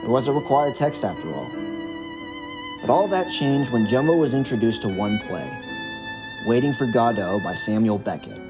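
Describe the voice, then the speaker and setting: Expressive male storyteller over music. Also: mid-range voice, moderate pace. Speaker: narrator of own audio drama. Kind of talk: scripted narration of a backstory.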